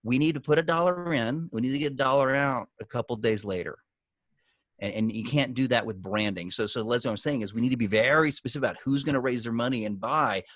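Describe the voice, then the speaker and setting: A man coaches a group over a video call in a medium-pitched voice. He speaks conversationally, stressing his points and slipping into anecdotes. The sound is close and dry. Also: fast, fairly bright tone, dry recording. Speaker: guest business coach and author. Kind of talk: giving marketing advice on a group video call.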